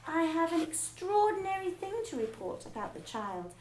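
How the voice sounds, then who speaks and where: A woman voicing a gentle young schoolteacher who speaks softly and earnestly. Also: very high-pitched, sing-song, normal speed, echoey room, slight background noise, voice pushed up, low-quality recording. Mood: pleading. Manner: soft, pleading and earnest.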